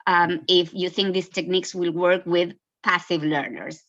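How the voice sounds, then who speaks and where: A woman's voice: lowish voice, steady tone, moderate pace, a bit bright. A woman moderates an online webinar, relaying audience questions in hesitant phrases. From Argentina. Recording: dull, lo-fi online call audio.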